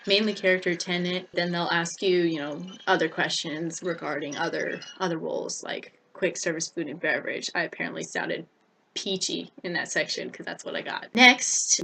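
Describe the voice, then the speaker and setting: Lower-voiced young American woman, chatty. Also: a bit bright. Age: young adult. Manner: chatty, informal, emphatic repetition. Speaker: vlogger. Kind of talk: casual vlog advice to camera. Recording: noticeable background noise.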